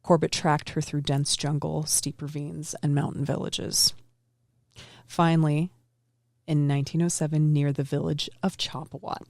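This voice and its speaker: Gravelly-voiced woman telling a story. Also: low voice, little pitch movement, moderate pace, darkish tone, no room sound, studio quality. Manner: apologetic, self-deprecating. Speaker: guest storyteller. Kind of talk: casual podcast storytelling.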